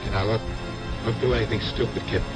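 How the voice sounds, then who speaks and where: A man acts a skeptical nightclub manager in an old radio drama. His voice is gruff and slightly low, with loud music behind it. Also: fairly even intonation, fairly quick, natural voice. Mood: wary, gruff. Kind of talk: 1970s radio drama acting.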